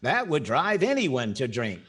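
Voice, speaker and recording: A man's voice: mid-range voice, huge pitch swings, a little slow, a bit bright, slightly rough, full character voice. An older man with an American accent acting a wry, wordy, playfully pompous host in 1940s radio comedy style.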